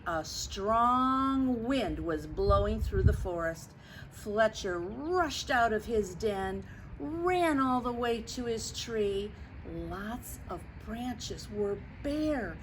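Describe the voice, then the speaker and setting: A woman reading a picture book aloud for young children, expressive and explanatory, talking directly to her listeners. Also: mid-range voice, a little hiss or hum, rough recording. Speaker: storytime reader.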